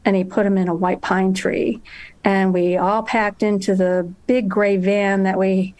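A woman's voice: mid-range voice, little pitch movement, measured pace, a little mellow. A woman guest telling a story in casual chat, laughing often as she goes.

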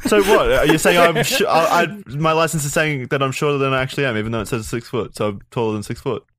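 A man's voice: medium pitch, fairly bright tone, no room sound. Playful young man on a dry mic. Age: 20s. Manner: playful and quick to get defensive. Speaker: podcast co-host. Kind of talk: casual chat.

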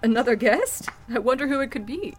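Woman playing a high-voiced, gracious senator. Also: expressive, brisk, over music. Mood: gracious, friendly. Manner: gracious, light social banter. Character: gracious, poised woman senator, sociable and playful. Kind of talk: golden-age radio drama acting.

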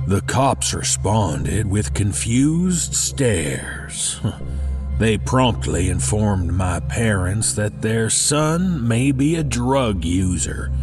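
Male, rattled young narrator of audio horror tale; confessional, emphatic, unhurried delivery with lively pitch swings over clear music. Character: rattled young man recounting a snake horror. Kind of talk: dramatic first-person horror storytelling. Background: background music.

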